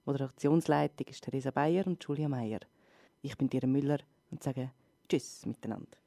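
A woman's voice: extremely low pitch, brisk, creaky, mellow, soft background music. A woman with a Swiss German accent reading a scripted sign-off as a radio host.

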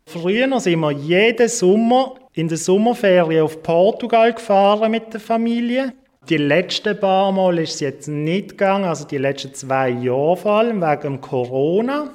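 A man's voice: high-pitched, expressive, normal speed, a little mellow. A man, a guest giving plain, matter-of-fact answers in a casual interview. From Switzerland. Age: 20s.

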